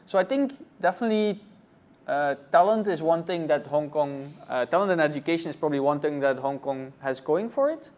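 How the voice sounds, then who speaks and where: A man from Belgium, a cloud architect, answering interview questions thoughtfully with many pauses and fillers. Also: a little high. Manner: thoughtful, many ums and uhs. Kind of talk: conference interview.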